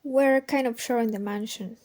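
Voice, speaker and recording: A woman's voice: fairly high pitch, steady tone, normal speed, a little mellow, close to an everyday voice. A woman playing a curious, practical fugitive companion in an amateur audio drama. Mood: matter-of-fact.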